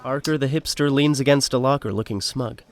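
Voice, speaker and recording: A man's voice: mid-range voice, fairly quick, natural voice. A man playing the wry, dramatic narrator of a scripted audio drama.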